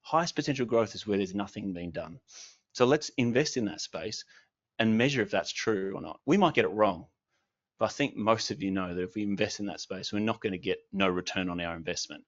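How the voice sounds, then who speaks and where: A man's voice: lowish voice, brisk, lo-fi sound. A man giving a passionate, emphatic speech at a launch event, often repeating words. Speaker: advocate speaker.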